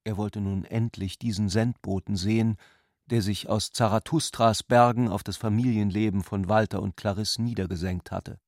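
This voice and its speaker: A man's voice: on the low side, steady tone, moderate pace, a little mellow, no room sound, studio quality. A man reading scripted literary narration for a radio play as its storyteller.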